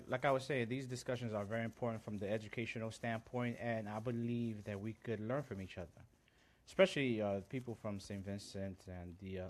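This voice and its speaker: A man from Honduras whose first language is Garifuna, hosting a casual live-stream chat, earnest, greeting viewers by name and mixing in Spanish greetings. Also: on the low side. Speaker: livestream host.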